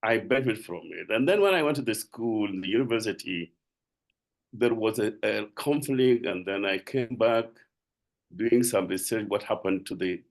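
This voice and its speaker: South sudanese guy on a video call, gravelly low voice, halting and earnest, keeps restarting. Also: animated. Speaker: panelist. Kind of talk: tribute speech on a webinar.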